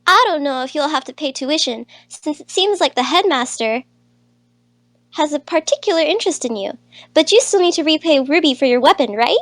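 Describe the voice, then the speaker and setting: A woman voicing a brisk, teasing young rabbit-eared female student in a visual novel dub, matter-of-fact and a bit bossy. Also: high-pitched, lively intonation, dry recording.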